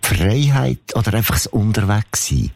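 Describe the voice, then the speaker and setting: A man's voice: fairly low pitch, very animated, normal speed, creaky, a little mellow, no echo. A man with a Swiss German accent hosting a conversational radio interview, responding with enthusiasm.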